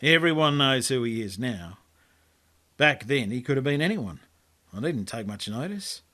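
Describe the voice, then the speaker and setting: A man's voice: medium pitch, animated, normal speed, sharp tone. An Australian man reading his own story as a colloquial, laconic first-person yarn. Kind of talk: storytelling monologue, first-person character narration.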